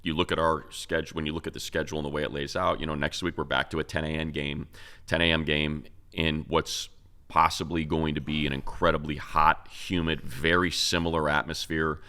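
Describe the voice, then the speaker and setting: An American man with an exceptionally deep voice hosts a sports talk show. His tone is forceful and cleanly resonant. He breaks down the game in a casual, emphatic, conversational style. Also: little pitch movement, brisk, smooth.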